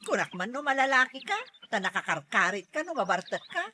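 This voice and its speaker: An actress plays a nosy neighbour in an audio drama, teasing and mocking. Her voice is fairly high, very bright and lively in pitch. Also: a little fast, a little hiss or hum. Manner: taunting, playful. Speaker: voice actor in a drama. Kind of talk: Ilocano audio drama acting.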